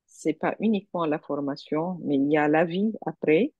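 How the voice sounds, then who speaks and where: A woman's voice: low voice, normal speed, slightly rough, no echo. A woman from a university encouraging applicants in an online meeting, earnest, with many hesitations. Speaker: university staff member. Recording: dull dry online call audio.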